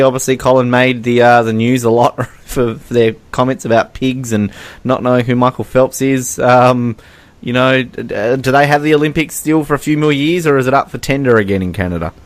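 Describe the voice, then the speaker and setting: A man's voice: mid-range voice, no room sound. An Australian man co-hosting a podcast in casual chat, rambling along with a joking, mocking manner. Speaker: podcast co-host.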